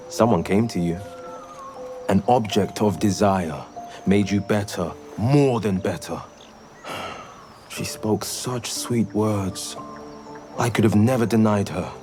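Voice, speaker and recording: Flirtatious game vampire, unhurried and rather low, purring theatrically over music. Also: male voice, darkish tone. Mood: teasing, seductive. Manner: theatrical, teasing, savouring words. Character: suave, sly male vampire, flirtatious and purring. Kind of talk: video game dramatic acting. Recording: music behind the voice.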